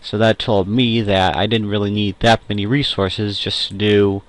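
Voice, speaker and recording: A young adult man talking casually and unscripted in a personal audio log, hesitant and halting, pausing often and correcting himself as he goes. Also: lowish voice, flat delivery, no room sound. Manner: hesitant, halting, self-correcting, with frequent pauses. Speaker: amateur audio log host.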